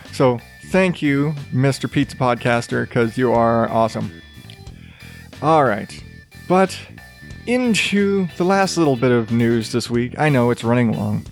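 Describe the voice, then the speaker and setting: A man talks through his own podcast with a soothing, even voice and a loose, rambling way of speaking. He swears freely and keeps things casual. Faint music sits quietly underneath him. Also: mid-range voice. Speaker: podcast host. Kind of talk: casual solo podcast chat.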